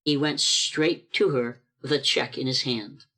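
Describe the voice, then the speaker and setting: A woman's voice: extremely low pitch, fairly even intonation, a little slow, pushed and bright. A woman reading scripted storybook narration as the narrator of a radio play. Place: performed remotely over a video call.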